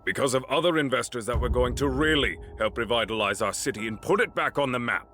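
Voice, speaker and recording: A man's voice: medium pitch, quick pace, sharp tone, lightly acted. A man acting in an audio drama as a corrupt, self-justifying mayor, defensive and pleading under threat. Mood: strained confession. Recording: music under the voice. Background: dramatic music.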